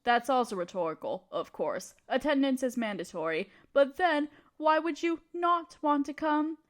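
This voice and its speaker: A woman's voice: high voice, lively intonation, normal speed. A woman playing a haughty, scheming evil queen in an audio drama, speaking in an imperious, theatrical, condescending way. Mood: grandly pleased. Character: scheming evil queen, haughty tyrant gloating to her advisor. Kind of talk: scripted roleplay audio drama monologue.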